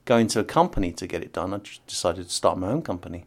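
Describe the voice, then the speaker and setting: A man's voice: deep voice, very animated, fast. A middle-aged man from the UK, a podcast guest talking casually with many fillers and restarts. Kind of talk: casual interview conversation.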